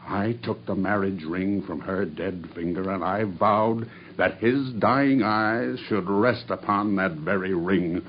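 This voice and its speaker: An actor playing a grim, dying male cab driver who makes a steady, grave confession in an old radio drama. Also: sits low, takes it slowly, a little hiss or hum. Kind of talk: 1950s BBC radio drama acting. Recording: dull old radio broadcast.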